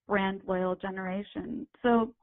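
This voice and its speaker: A young woman, a guest writer, explaining her views in a measured, conversational way with occasional ums. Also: mid-range voice, fairly even intonation, no echo. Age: young adult. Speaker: guest, retail writer and editor. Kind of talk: casual interview chat. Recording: dry, phone-like line.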